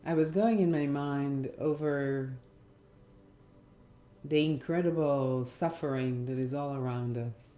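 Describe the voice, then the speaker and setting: A very slow-speaking middle-aged woman leads a reflective meditation, leaving gentle pauses between phrases. Her tone is soft-edged and mellow. The voice sits in a roomy echo with faint music underneath. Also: very deep voice. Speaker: meditation guide and hypnotherapist. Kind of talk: reflective guided meditation talk.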